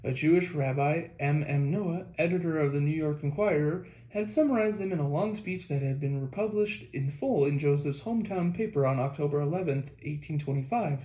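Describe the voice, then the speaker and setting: A man's voice: on the high side, brisk, few pauses, mellow. A man reading a nonfiction history book aloud as scripted audiobook narration. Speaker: audiobook reader. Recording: echoey room.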